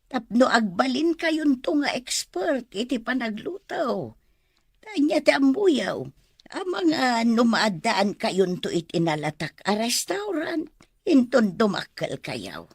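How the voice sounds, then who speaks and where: A sweet old ilocano granny in a radio drama, husky, voice really up and down. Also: female voice, a little high, big pitch swings, slow pace, no echo, studio quality, exaggerated voice. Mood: fond, encouraging. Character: kindly, encouraging old grandmother who teaches cooking.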